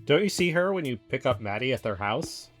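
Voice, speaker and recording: Audio drama role: skeptical, dry-witted male investigator, played by a man, medium pitch, conversational, guitar bed. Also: big pitch swings, a little fast, natural voice. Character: suspicious, sardonic, guarded man, an amateur investigator. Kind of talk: naturalistic audio drama dialogue.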